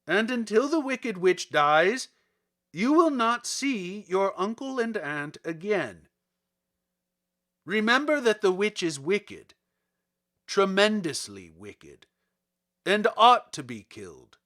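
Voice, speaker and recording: A man voicing a great disembodied wizard's head in a storybook, loud and booming, stern and commanding in a slow, emphatic way. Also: very animated, full character voice. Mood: stern, imperious. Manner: imperious, emphatic, deliberate. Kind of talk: dramatic storybook character reading.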